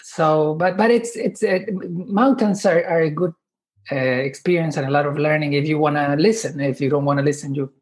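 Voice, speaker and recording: A man in his fifties from Chile, speaking English, talking haltingly with many restarts as a podcast guest. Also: on the high side. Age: 50s. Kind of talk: podcast interview.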